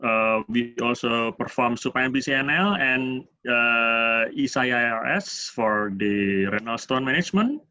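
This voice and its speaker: A man with an Indonesian accent giving a medical lecture as a urologist, speaking in halting, hesitant phrases. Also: medium pitch, expressive, sharp tone. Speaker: urologist presenting at a medical webinar. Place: online webinar. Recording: dull, roomy webinar audio.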